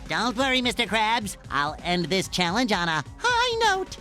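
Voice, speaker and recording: A woman voicing a cheerful, eager, naive young male sponge in a cartoon game, excitable and earnest. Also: mid-range voice, unhurried, soft background music.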